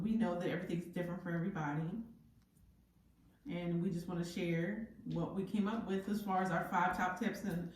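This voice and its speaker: A woman's voice: fairly low pitch, a little mellow. A middle-aged American woman chatting casually as co-host, sharing parenting advice. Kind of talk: casual chat. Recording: very echoey room, distant mic, faint noise.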